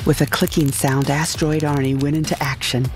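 A woman's voice: low voice, flat delivery, fairly quick. A woman narrating a first-person comic science fiction audio drama. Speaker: narrator and lead character. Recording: music behind the voice.

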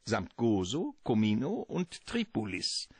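An actor playing a genteel, long-winded villain obsessed with treasure in a radio drama, florid and courteous. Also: male voice, on the low side, huge pitch swings, slow pace, darkish tone, breathy edge, no room sound, full character voice. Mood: relishing, lecturing. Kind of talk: radio drama acting, storytelling monologue.

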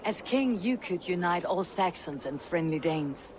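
An actor playing a steely Viking warrior in a video game, with a blunt, dry, confident manner. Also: male voice, high-pitched, expressive, unhurried, noisy background. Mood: earnest. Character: steely, taunting Viking warrior, protective of clan. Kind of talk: video game cutscene acting. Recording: music behind the voice.

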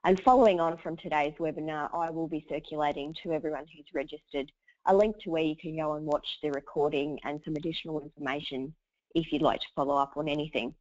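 A woman, hoarse from a cold, hosting a webinar and running through housekeeping in a chatty way with many fillers. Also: low voice, steady tone, fast talker, darkish tone, dry recording. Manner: chatty, lots of uhs. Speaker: webinar host. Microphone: computer mic over a webinar line. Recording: dull dry webinar audio.

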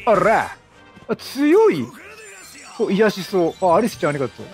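A man's voice: high voice, big pitch swings, moderate pace, a little mellow, soft background music. A talkative man doing chatty let's-play commentary, exclaiming and talking to the game's characters. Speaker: gaming host. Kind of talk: chatty let's-play commentary, reading game dialogue aloud.

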